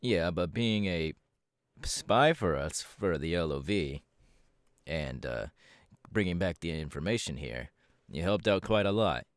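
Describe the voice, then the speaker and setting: A man playing a proud, laid-back superhero mentor in an ASMR audio roleplay, talking casually with drawling, hesitant pauses. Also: sits low, dry recording, studio quality, exaggerated voice. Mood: proud, encouraging.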